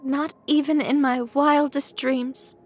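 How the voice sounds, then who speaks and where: A woman's voice: high voice, slow pace, slight background noise. An actress voicing an earnest, sentimental young woman who leads a clan, easily embarrassed, in a video game. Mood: grateful, sincere. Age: young adult. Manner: earnest, expressive, hesitant when flustered. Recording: music behind the voice.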